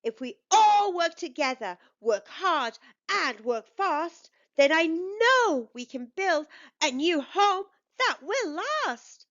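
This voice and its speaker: An earnest, sweet-toothed beaver from a picture book, as a woman acts him out. His voice is high and very animated, with emphatic phrasing. The recording is slightly dull. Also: slow pace, heavily acted. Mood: rallying.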